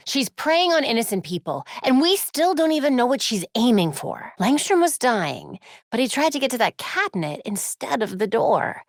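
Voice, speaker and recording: Wry young American superhero, close and dry. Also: female voice, fairly high pitch, very animated, normal speed, no echo. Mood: analytical. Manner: wry, confident, conversational. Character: young female superhero detective, determined, wry and quick-witted. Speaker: video game character. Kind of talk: acted video game dialogue.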